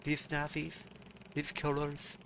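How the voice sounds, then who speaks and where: A man voicing a mysterious, grave caller who recruits a detective, speaking solemnly and in measured phrases. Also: a little high, monotone, gravelly, fairly bright tone, a little hiss or hum, full character voice, poor audio. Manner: solemn, measured, reading game dialogue aloud.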